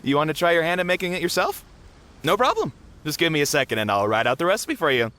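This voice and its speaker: A man's voice: fairly high pitch, very animated, fast talker, bright, forceful tone. A man playing a hearty, generous food stall cook in an anime game, informal, encouraging and proud. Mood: friendly, proud. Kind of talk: anime video game voice acting. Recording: game music behind voice.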